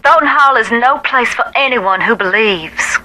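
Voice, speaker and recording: A woman's voice: a little high, lively intonation, normal speed, bright, forceful tone, rough recording. An actress playing a grave, superstitious woman in a video game, earnest, folksy and cautioning, heard through a telephone filter. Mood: grave, urgent. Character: grave, superstitious woman urgently asking for help.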